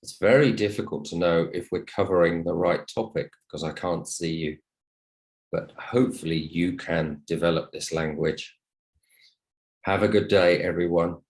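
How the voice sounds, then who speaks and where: A low-voiced man speaks as an English teacher running an online class. He is polite and encouraging as he addresses his students. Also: moderate pace. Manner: polite, encouraging, thanks listeners often. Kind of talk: online lesson talk to students. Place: online video call session.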